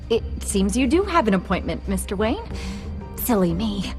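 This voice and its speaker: A woman's voice: medium pitch, very animated, moderate pace, darkish tone, natural voice. A woman playing an officious secretary in a video game drama, speaking with prim, curt politeness. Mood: sheepish. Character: officious, dismissive female secretary guarding the mayor's door. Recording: faint music behind.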